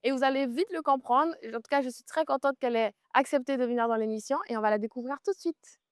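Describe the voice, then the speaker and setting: Upbeat woman host, fairly high voice. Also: fast, no room sound, clean studio sound. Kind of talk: casual interview while walking. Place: outdoors on a forest trail.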